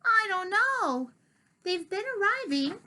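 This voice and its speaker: A woman's voice: extremely high pitch, sing-song, slow, bright, forceful tone, voice pushed up, exaggerated voice. A woman voicing a grumpy, fretful old male cat who growls complaints.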